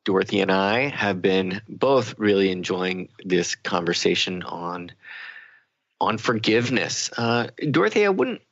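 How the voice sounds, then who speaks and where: A male radio co-host with a lowish, gravelly voice talks in a reflective, explanatory way, often circling back to rephrase a point. The sound is close and dry, slightly dulled. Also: expressive, a little slow, a bit bright, dry recording, dull audio. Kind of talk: conversational, reflective talk radio discussion.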